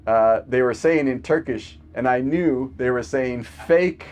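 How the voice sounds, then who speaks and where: A man's voice: mid-range voice, a little slow, darkish tone. A man, a physician, chatting casually over a video call, telling stories with lots of fillers and laughs. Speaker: physician guest. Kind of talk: casual remote podcast conversation.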